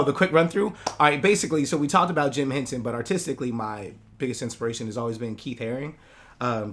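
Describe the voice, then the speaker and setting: Young male guest artist; medium pitch; easygoing, rambling chat with slang. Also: fairly bright tone. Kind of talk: casual interview chat. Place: comic shop.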